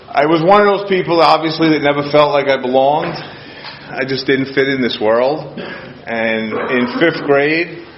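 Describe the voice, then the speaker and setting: A man's voice: mid-range voice, slight background noise. A man from Queens giving a plain-spoken, self-deprecating talk at a meeting, full of restarts and a little choked up. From Queens, New York. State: choked up with emotion. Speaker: speaker at a recovery meeting. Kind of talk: personal recovery talk to a group. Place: meeting room. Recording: dull lo-fi room recording with faint ambience.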